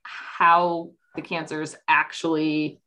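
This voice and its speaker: A woman's voice: deep voice, flat delivery, slow, pushed and bright, rough recording. A woman chatting casually as a guest vet tech on a podcast, slightly stuffy from allergies. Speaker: podcast guest, oncology veterinary technician. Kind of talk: casual chat.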